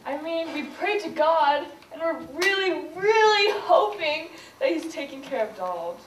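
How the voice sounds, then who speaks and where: A young woman speaks earnestly from a stage in a very high voice. She sounds far from the mic in a roomy hall, with faint noise around. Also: expressive, a little slow. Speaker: contestant in a stage skit.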